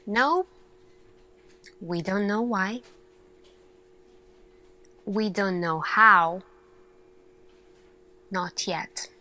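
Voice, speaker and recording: A woman playing an earnest lead scientist in an ASMR roleplay, speaking deliberately in short phrases with dramatic pauses. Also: mid-range voice, bright, forceful tone. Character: earnest female lead scientist addressing her team. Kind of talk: slow ASMR roleplay monologue.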